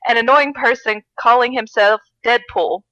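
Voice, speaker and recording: A woman podcast host with a bright, fairly high voice. She is giggly and wry, moving between casual chat and comic reading aloud. The sound is close and dry. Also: normal speed, dry recording, dull audio. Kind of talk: comedic read-aloud of fan fiction with casual chat.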